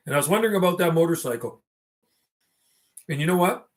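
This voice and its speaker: A middle-aged man with a slightly higher-than-usual voice hosts a casual livestream. He rambles in loose, slangy phrases, reminiscing as if chatting with friends. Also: lively intonation, quick pace. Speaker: livestream host.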